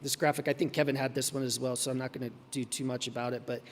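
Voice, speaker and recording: A man giving a conference talk, hoarse and losing his voice, halting with many ums and restarts. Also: medium pitch, level tone, long reverb tail. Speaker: conference presenter. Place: conference hall.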